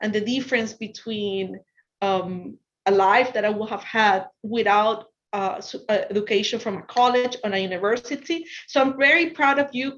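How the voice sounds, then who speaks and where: A woman from Ecuador with an Ecuadorian Spanish accent, a native Spanish speaker, giving an encouraging motivational presentation to students as a guest speaker. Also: mid-range voice. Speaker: guest speaker, financial consultant. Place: online video presentation over Zoom. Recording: dull, lo-fi video call audio.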